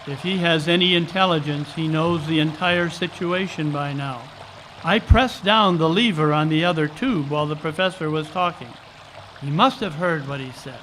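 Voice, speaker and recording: A man performs a keen detective in an old-time radio play. The voice is high for a man and forceful, with precise, formal phrasing. He sounds unflappable yet eager as he reasons, over faint noise in a live recording. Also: moderate pace, lightly acted, rough recording. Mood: urgent. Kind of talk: old-time radio drama acting, live stage reading. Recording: live conference recording, background water noise.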